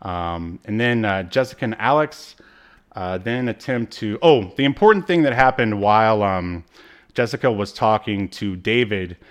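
A man co-hosting a podcast, chatting casually through a recap in a halting way, as if searching through his notes. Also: fairly low pitch. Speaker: podcast co-host. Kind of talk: casual chat recapping a TV episode.

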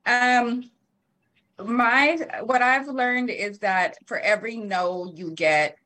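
A woman's voice: a little high, fairly even intonation, slow pace, bright, forceful tone. A woman in her thirties talking casually as an interview guest, chatty with frequent laughs and fillers. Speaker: guest, marketing and PR company founder.

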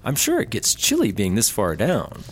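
An actor playing a droll, easygoing, slow-witted male sidekick in a comedy audio drama, guileless and deadpan. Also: medium pitch, slightly rough. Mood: amused, easygoing.

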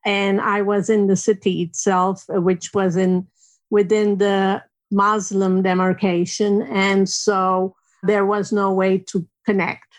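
Woman in her sixties, from Lebanon, slow, thoughtful, mid-pitched, reflective personal storytelling. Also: level tone. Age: 60s. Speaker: interview guest.

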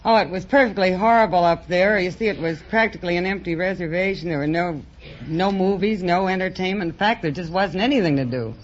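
A woman's voice: on the low side, brisk, a little hiss or hum. A woman from Chicago with an American accent, chatting and telling stories as a quiz contestant. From Chicago, USA. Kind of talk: conversational storytelling on radio. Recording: dull old radio recording.

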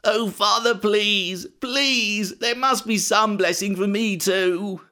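A man's voice: sits high, slow, bright, forceful tone, voice pushed up, full character voice. A man voicing a wronged eldest son in a Bible story, anguished and pleading.

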